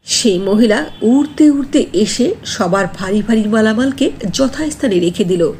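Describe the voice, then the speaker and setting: A woman narrating a scripted cartoon fairy tale in an animated, expressive way. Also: on the high side, a little slow, a little hiss or hum.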